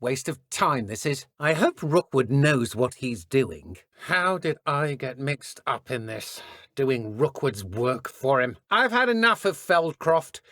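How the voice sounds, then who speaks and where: A cruel British dark witch from a fantasy video game, with very lively, swooping pitch. She is smug and theatrical, mocking whoever faces her. The recording is close and dry. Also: slow, dry recording, exaggerated voice. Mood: weary grumbling. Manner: taunting, theatrical, condescending. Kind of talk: villain combat and idle barks for a fantasy game.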